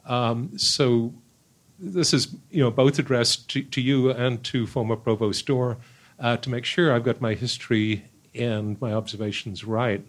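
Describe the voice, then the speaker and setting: A man moderating a university panel discussion, posing a long question in a measured way with frequent fillers. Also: mid-range voice, steady tone.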